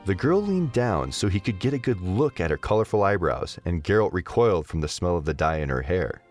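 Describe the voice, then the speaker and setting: A man narrating a scripted story in an expressive storytelling manner. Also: sits low, a little fast, faint music behind.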